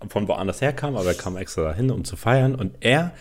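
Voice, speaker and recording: A man's voice: fairly low pitch, expressive, fairly quick. A man, co-hosting a casual podcast, telling stories in a colloquial, sweary, ranting way and acting out quotes. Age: 20s. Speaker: podcast co-host. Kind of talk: casual podcast banter and storytelling.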